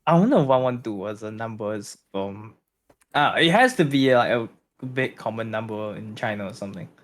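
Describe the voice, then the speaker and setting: A man's voice: on the low side, expressive, moderate pace. A young man co-hosting a podcast, chatting casually, teasing and laughing easily. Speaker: podcast co-host. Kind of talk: casual chat.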